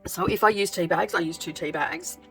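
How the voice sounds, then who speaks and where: A woman with a croaky voice chatting casually as she sews, thinking aloud with lots of ums. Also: lowish voice, a little fast, fairly bright tone, soft background music, poor audio. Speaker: craft vlogger. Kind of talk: casual craft vlog chat.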